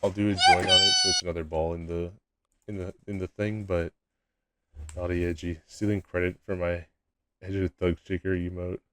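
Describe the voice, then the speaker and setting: Low-voiced young man streaming. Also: huge pitch swings, moderate pace, sharp tone, a little hiss or hum. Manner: laid-back, rambling with restarts. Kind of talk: casual live-stream chat, reading chat aloud.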